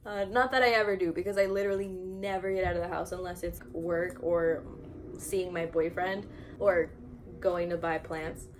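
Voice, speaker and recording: A woman's voice: on the low side, steady tone, moderate pace. A 21-year-old woman with an American accent, chatting casually and informally in a self-deprecating, rambling way as she vlogs from home. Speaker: houseplant vlogger. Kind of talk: casual rambling chat while repotting plants. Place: indoor room at home with plants. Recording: noticeable background noise, home video.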